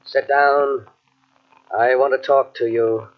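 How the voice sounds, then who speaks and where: An actor playing a calm, scheming master of the house, condescending and coolly commanding, with a husky voice in 1940s radio style. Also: male voice, mid-range voice, slow. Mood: smooth control. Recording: dull, lo-fi old radio cassette recording.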